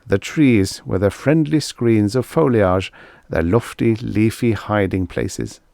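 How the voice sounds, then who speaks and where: A man's voice: fairly low pitch, slow pace, creaky, darkish tone, dry recording, studio quality. A man reading a scripted story as an audiobook narrator.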